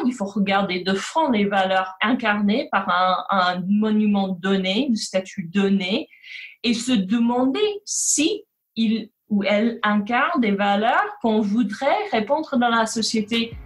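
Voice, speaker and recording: A woman historian giving considered interview answers, feeling for her words as she goes. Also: a little high.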